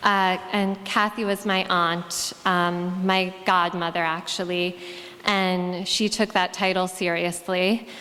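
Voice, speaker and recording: A woman giving a eulogy for her aunt at a memorial service in a church, speaking haltingly with frequent ums and pauses. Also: fairly low pitch, little pitch movement, sharp tone, slight background noise. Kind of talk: memorial eulogy and poem reading. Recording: long reverb of a large hall.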